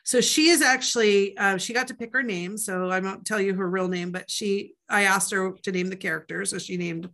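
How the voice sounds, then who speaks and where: Chatty bright-voiced woman author. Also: mid-range voice, steady tone, brisk, few pauses. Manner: chatty, rambling, with many fillers and asides. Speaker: author guest. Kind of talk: casual podcast interview.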